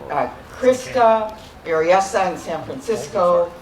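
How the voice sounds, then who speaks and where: Organizer guy wrapping up the festival, halting, lots of uhs, echoey pa. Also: high-pitched, lively intonation, a little hiss or hum. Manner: earnest, halting with fillers and repeats. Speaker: event organizer. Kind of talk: closing remarks at a festival. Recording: echoey PA sound.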